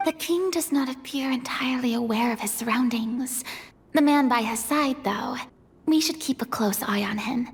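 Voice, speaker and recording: A woman playing an eager young female adventurer in a video game, earnest and expressive. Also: high-pitched, moderate pace, slightly rough. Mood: hushed, wary. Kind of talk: video game character acting. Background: game music.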